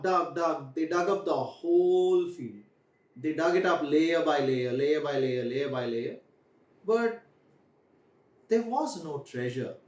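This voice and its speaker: A young man tells a children's story in a high, very animated voice. He phrases things deliberately and repeats them for emphasis. The small room echoes around him. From India. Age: young adult. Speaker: storyteller host. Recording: echoey room, distant microphone.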